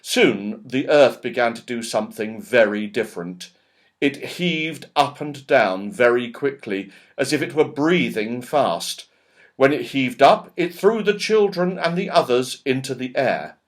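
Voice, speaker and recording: A man narrating a children's story in an expressive, emphatic way. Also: mid-range voice, unhurried. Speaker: audiobook reader.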